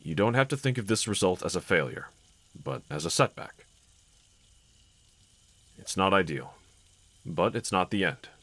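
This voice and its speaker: Guy voicing a calm caring husband in roleplay audio, low voice, super dry close sound, reassuring and considerate. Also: moderate pace, gravelly, pauses often, no room sound, exaggerated voice. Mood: encouraging, supportive. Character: gentle, encouraging adult husband, calm and caring. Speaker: character audio voice actor. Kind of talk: intimate scripted roleplay audio.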